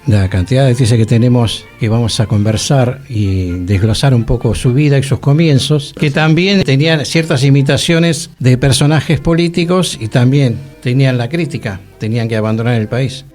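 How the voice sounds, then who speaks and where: A man's voice: mid-range voice, moderate pace, few pauses, darkish tone, faint music behind. A man with a Rioplatense Argentine accent speaking conversationally as a radio panelist, with courteous, slightly formal wording. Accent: Argentine Rioplatense Spanish.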